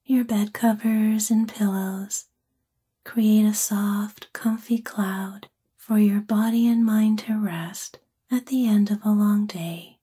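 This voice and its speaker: A woman with a soft, breathy, whispery voice gently and soothingly guides a sleep meditation in an ASMR-like style. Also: mid-range voice, fairly even intonation. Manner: gentle, soothing, unhurried phrasing.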